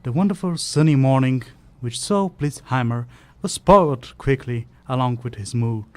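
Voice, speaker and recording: A man's voice: medium pitch, moderate pace, darkish tone, close to an everyday voice. A man playing a nitpicking sidekick who helps narrate a mock fantasy tale in a scripted audio play. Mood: narrating.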